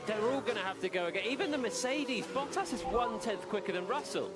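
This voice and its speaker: High-voiced male racing co-commentator. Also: animated, brisk, over loud music. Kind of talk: live race commentary with opinions. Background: racing car engines.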